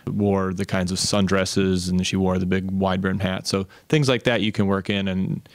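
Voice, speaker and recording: A man's voice: low voice, flat delivery, normal speed, dry recording. A man talking in an interview as an animator, explaining how the work gets made.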